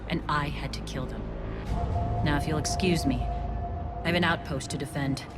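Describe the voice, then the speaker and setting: A woman's voice: deep voice, normal speed, close to an everyday voice. A woman playing a resolute, guarded alien resistance leader in a video game, speaking firmly and formally. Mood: grim. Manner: firm, earnest, formal. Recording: loud music under dialogue.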